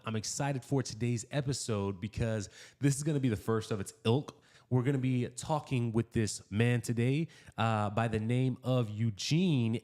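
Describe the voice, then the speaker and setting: A man's voice: mid-range voice, a little mellow. A man hosting a podcast, upbeat and given to repeating affirmations as he introduces and questions his guest. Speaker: podcast host. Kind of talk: podcast interview.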